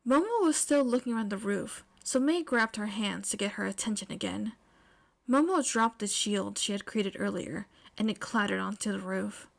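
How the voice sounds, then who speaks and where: A woman reading scripted fiction aloud as an expressive podfic narrator. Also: medium pitch, normal speed, gravelly.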